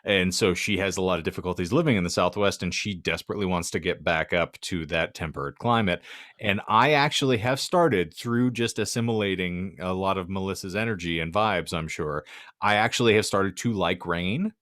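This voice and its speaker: Low-voiced man in wry podcast banter. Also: a little fast, a bit bright, dry recording, clean studio sound. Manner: wry, wordy, irreverent. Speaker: podcast co-host. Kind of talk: casual comedic podcast banter.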